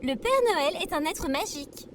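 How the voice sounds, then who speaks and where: Woman playing a very high-pitched, bubbly robot girl. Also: moderate pace, slight background noise, natural voice. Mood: indignant, stubborn. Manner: bubbly, theatrical, formal address. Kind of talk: audio fiction comedy acting.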